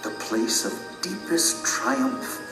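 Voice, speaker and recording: Calm old-tale sage male actor, grave and portentous, slow dramatic narration with echo and music behind. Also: lightly acted. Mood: grave, measured. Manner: measured, portentous. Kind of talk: dramatic storytelling in audio drama. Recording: echoey room, music underneath.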